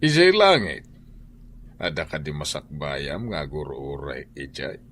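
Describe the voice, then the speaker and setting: A solemn figure urging repentance in a radio drama. His Filipino-accented voice is extremely low, with big preachy swings in pitch. Faint noise and ambience sit behind him. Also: huge pitch swings, a little slow, fairly bright tone, heavily acted. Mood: solemn, admonishing. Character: solemn, admonishing man preaching repentance and heaven. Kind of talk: Ilocano radio drama acting.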